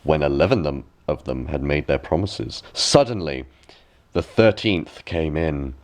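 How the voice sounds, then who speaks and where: Deep-voiced man reading a fairy tale. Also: measured pace. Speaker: storyteller, audiobook reader. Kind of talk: scripted bedtime fairy tale narration.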